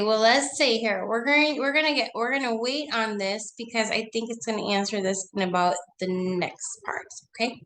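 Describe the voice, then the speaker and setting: A woman's voice: a little high, a bit bright, rough recording. A woman teacher with a cold, her voice nasal and fading, reading a story aloud to children and asking them questions in a chatty, coaxing way during an online lesson.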